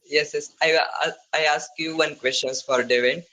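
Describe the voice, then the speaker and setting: A man with an Indian accent practising conversational English, speaking haltingly in non-fluent phrases with broken grammar. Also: on the high side, steady tone, pushed and bright, rough recording. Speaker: English learner. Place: online video call.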